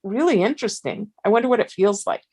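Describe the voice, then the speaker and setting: A woman's voice: medium pitch, lively intonation, fast, darkish tone, breathy edge. A woman joining a casual stitching conversation, chatty and amused as she adds her own opinions.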